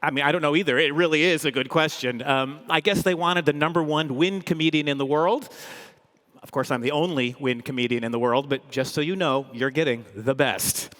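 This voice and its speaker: A man with a fairly high voice presents live on stage in a large, reverberant hall. He is an upbeat comedian host, energetic and playful. His delivery is humorous, full of tongue-in-cheek boasting. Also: fairly quick. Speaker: comedian hosting a corporate event. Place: large indoor event hall, live audience. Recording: echoey big hall, long reverb.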